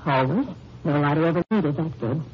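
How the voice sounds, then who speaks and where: Wisecracking young sweetheart, animated, 1950s radio crime drama, muffled old recording. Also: female voice, deep voice, brisk, slight background noise. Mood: wry, anxious. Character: plucky, wisecracking young woman, detective's sweetheart.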